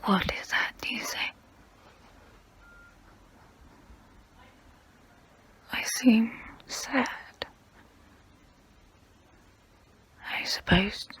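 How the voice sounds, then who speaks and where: A woman playing a guilty, melancholy centuries-old vampire in an ASMR role play, whispering in a slow, hesitant, wistful way with formal phrasing. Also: mid-range voice, fairly bright tone, full character voice. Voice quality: breathy whisper.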